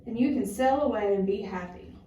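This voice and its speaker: A woman voicing a gentle, giving old female tree from a children's storybook, speaking tenderly and simply. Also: mid-range voice, fairly quick, natural voice. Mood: kindly offering. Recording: roomy, noisy, distant classroom recording.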